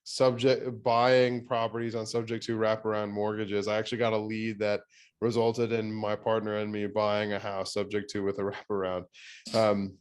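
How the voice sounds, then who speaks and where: Smooth low-voiced male podcast host. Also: completely flat delivery, normal speed. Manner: thinks aloud with fillers and restarts. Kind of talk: conversational interview.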